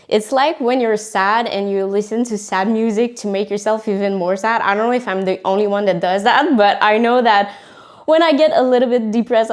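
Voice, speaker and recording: A young woman with a French accent, native French speaker, hosting a casual motivational podcast in English, earnest and full of fillers and restarts. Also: medium pitch. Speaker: podcast host.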